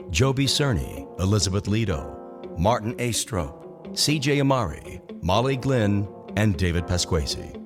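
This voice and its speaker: A male announcer with a deep voice reads scripted radio credits. The delivery is formal, and music plays clearly behind him. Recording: music under the voice.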